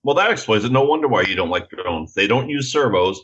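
Low-voiced man, joking podcast host. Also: lively intonation, fairly bright tone, slightly rough, muffled recording. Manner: joking, halting with repeated words. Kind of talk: casual chat.

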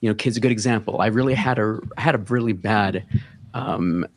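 A man. He talks in a loose, easygoing way as a podcast guest and pauses on plenty of fillers as he tells his story. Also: on the low side. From the Bronx, New York. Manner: relaxed, storytelling with many filler words. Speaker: guest, content creator. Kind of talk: casual podcast interview.

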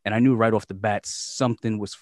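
A man with a somewhat low voice hosts a podcast, talking loosely and joking with frequent swearing. His voice is dry and close-miked, with no room echo. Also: fairly even intonation, quick pace, darkish tone. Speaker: podcast host. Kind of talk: casual, profane podcast chat.

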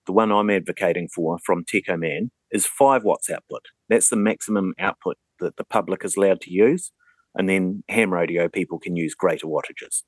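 Male Kiwi interview guest, deep pitch, methodical practical explainer, conversational, dry recording. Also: no echo. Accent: New Zealand. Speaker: interview guest, radio communications advocate.

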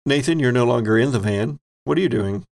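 A man narrating a tabletop role-playing game as game master, telling it suspensefully with deliberate pauses. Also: medium pitch, gravelly, a little mellow.

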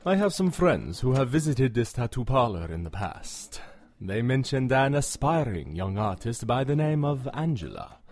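A man playing a suave, commanding vampire in a radio drama, speaking formally with measured, hypnotic diction and a husky voice. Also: medium pitch, sing-song, a little mellow. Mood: smooth, flattering. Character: suave, commanding male vampire, seductive and menacing.

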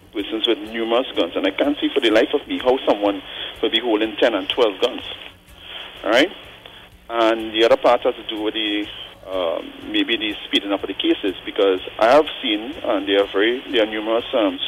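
A man in his forties calling in to a radio show, thinking aloud earnestly with frequent pauses and tag questions like "right?". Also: lowish voice, a little hiss or hum, low-quality recording. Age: 40s. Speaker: caller. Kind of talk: call-in radio commentary.

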